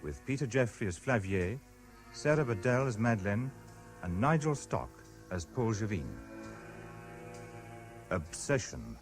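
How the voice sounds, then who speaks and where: A male announcer introduces a vintage radio play in a formal style. His voice is lowish and varied in pitch. Music plays clearly behind him. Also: big pitch swings, a little slow, long pauses. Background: prominent music.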